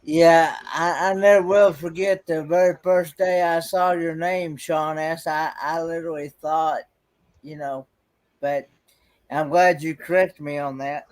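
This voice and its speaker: A man's voice: a little high, steady tone, unhurried. A man chatting casually on a livestream in a polite, hesitant, rambling way.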